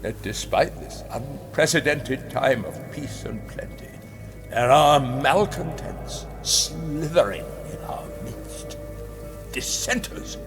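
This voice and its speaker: An actor playing a grandiose, menacing rabbit ruler, orating theatrically with emphatic, dramatic pauses. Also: male voice, medium pitch. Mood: menacing, impassioned. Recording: long hall reverb, music underneath.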